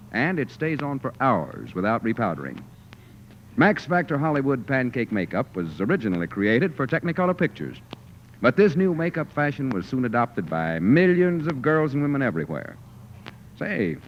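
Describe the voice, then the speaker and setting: Animated American 1940s radio announcer, male. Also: mid-range voice. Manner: polished, salesmanlike. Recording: dull, faintly noisy 1940s radio recording.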